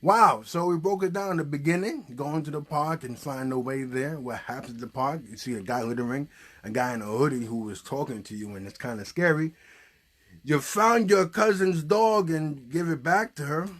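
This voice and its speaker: A man's voice: a little high, moderate pace, fairly bright tone. A man hosting a puppet show, chatting casually and encouragingly and prompting with questions. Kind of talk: casual, unscripted chat.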